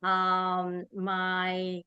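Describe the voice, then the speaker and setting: Slow, smooth-voiced Romanian woman. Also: fairly low pitch, monotone, no room sound, dull audio. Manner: halting, restarts phrases while thinking. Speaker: executive and leadership coach. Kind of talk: podcast interview answer.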